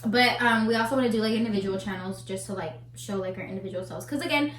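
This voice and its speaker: A young woman whose first language is Spanish chats earnestly and bluntly, with the odd swear. Her mid-pitched voice carries a roomy echo from the space around her. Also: fast, slight background noise. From United States, family from Colombia. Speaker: co-host. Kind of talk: casual chat over a meal. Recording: echoey room.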